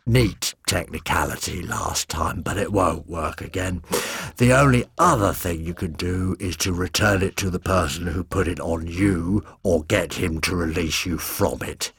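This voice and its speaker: A huge clawed creature, as a man voices it, sorry but resigned, speaking low and slowly. The husky voice sits below the narrator's usual pitch. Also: a bit bright, no echo, full character voice. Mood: apologetic. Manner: low, slow, regretful.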